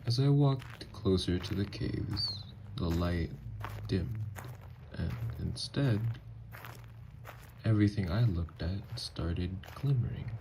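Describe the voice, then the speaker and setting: A man playing a gentle, haunted, newly-turned vampire lover in an ASMR audio drama, speaking in hushed, halting, hesitant phrases. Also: low-pitched, animated, gravelly, noisy background, heavily acted. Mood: wistful, awed.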